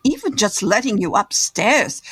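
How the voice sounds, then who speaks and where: An actress playing a timid, deferential servant woman in an audio drama, hesitant and apologetic, with a husky voice. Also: medium pitch, big pitch swings, measured pace, a bit bright, dry recording, exaggerated voice. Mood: nervous, apologetic.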